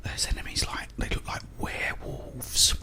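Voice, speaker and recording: Male ASMR host, whispered and breathy, casual meandering delivery, unscripted. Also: normal speed.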